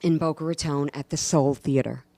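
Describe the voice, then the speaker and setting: Low-voiced New woman, emphatic. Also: fairly even intonation, moderate pace, mellow. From New York, USA. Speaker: guest, actress and stand-up comedian. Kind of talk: casual interview chat.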